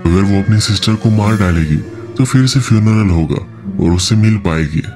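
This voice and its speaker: Low-pitched man narrating over music. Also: moderate pace. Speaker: movie explainer narrator. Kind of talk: scripted film recap narration. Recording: background music under voice-over.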